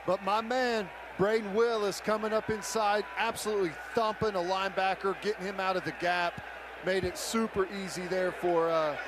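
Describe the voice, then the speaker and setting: A man's voice: high-pitched, steady tone, normal speed, a crowd in the background. An American man giving conversational football analysis as a radio color commentator, repeating words for emphasis. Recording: very noisy stadium radio broadcast. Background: loud stadium ambience.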